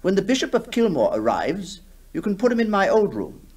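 A man playing a brisk, imperious country squire. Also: high-pitched, huge pitch swings, darkish tone, pitched above the performer's own voice, full character voice. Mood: impatient, commanding. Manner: commanding, clipped, dismissive. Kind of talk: 1960s radio drama acting.

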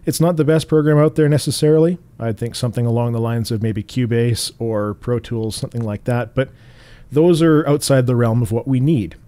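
A man with a naturally bassy, mellow voice talks through a software tutorial at an easy pace. He explains in a relaxed way, now and then restarting a phrase. The sound is dry and close, recorded at home. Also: a little fast, no echo. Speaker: tutorial host.

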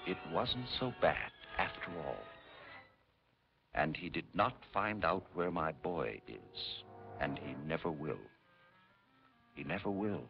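Slow, low-voiced, bitter prisoner. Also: male voice, expressive, gravelly, exaggerated voice. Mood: quiet defiance. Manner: deliberate, bitterly ironic. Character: weary, defiant male prisoner thinking aloud in voice-over. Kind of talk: 1950s film inner monologue. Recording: dull old film track under loud music. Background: film score.

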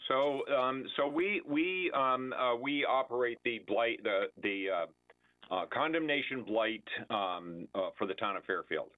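A man speaking at a public budget hearing, halting with many fillers as he explains his department's needs over a video call. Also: medium pitch. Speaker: building department official. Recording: tinny phone-like line.

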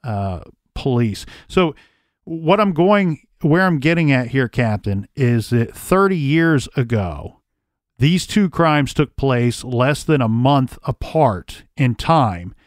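A man's voice: mid-range voice, expressive, slow, no room sound, studio quality. A man hosting a true crime podcast, reading old case records aloud and then thinking through theories in casual talk. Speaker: podcast host.

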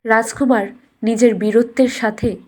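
A woman narrating a scripted cartoon fairy tale as a storyteller, in a measured, deliberate storybook delivery. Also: on the high side, steady tone, a little mellow.

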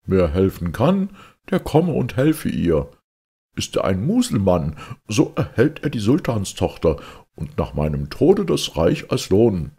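Man as a loud, raised-pitch royal messenger. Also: creaky, husky, heavily acted. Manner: loud, declamatory. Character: royal messenger loudly proclaiming a sultan's desperate decree.

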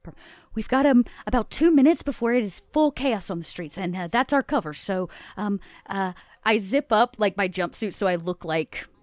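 A woman's voice: mid-range voice, expressive, normal speed, no room sound. A woman playing a tabletop roleplaying game, improvising actions in a chatty, informal way. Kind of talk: casual improvised game play.

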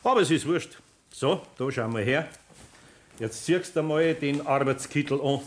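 An actor playing a patient but firm older master carpenter with a Bavarian accent, speaking in a homely, deliberate way in a 1960s radio play. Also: male voice, on the high side, natural voice. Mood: patient, instructing.

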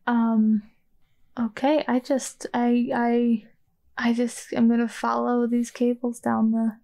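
A woman's voice: a little high, little pitch movement, slow, vocal fry, warm tone. A woman playing in a tabletop role-playing game, casually describing her moves as she thinks aloud, a little hesitantly. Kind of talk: casual improvised actual-play chat.

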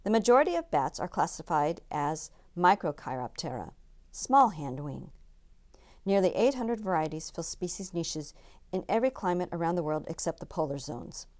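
Expressive woman storyteller with lively pitch. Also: moderate pace. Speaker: read-aloud storyteller. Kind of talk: expressive children's picture book reading.

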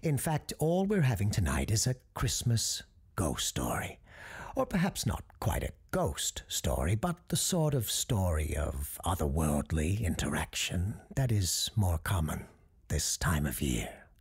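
A man performs as a genial parapsychologist hosting an audio drama and telling old family ghost stories. His voice is low and very breathy-rough, with some creak at the edges. He speaks in a measured, genteel storyteller's manner. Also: huge pitch swings, heavily acted. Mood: genial, chatty. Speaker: host and storyteller of an audio fiction show. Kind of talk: scripted storytelling, radio-show monologue.

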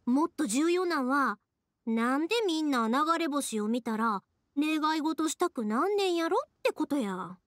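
An actress voicing a cheerful, earnest young woman who makes fireworks, speaking with a Kansai accent in an animated, heartfelt way for an anime game. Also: high-pitched, measured pace, dry recording, studio quality. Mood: earnest, passionate.

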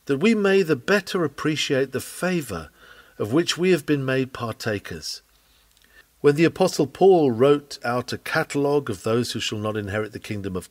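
A man's voice: mid-range voice, no room sound. Man reading a sermon, dry close recording. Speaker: reader of a historical sermon. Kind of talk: read-aloud sermon, scripted.

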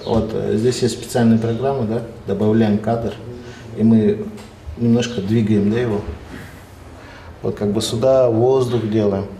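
A man in his thirties giving a casual interview, explaining his work in a halting, informal way. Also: fairly low pitch, steady tone, warm tone, a little hiss or hum. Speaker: animation artist, interviewee. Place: small office studio, indoors. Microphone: handheld TV reporter microphone. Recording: echoey room with people chattering.

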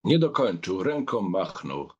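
A man's voice: low-pitched, big pitch swings, measured pace, slightly rough, highs cut off. A man reading literary narration expressively as an audiobook narrator.

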